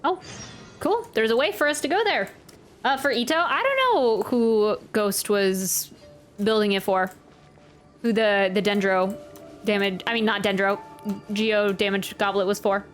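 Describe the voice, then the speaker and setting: A woman's voice: medium pitch, a little slow. A young woman chatting playfully and expressively as she reacts to a video game. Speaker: reaction video host, gamer. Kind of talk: casual gameplay reaction, some reading aloud of dialogue. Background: game music.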